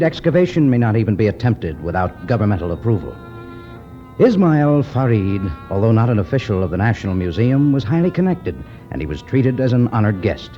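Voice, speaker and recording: A man narrating a 1950s radio adventure serial in a measured, dramatic style. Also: medium pitch. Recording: muffled 1951 radio recording with music.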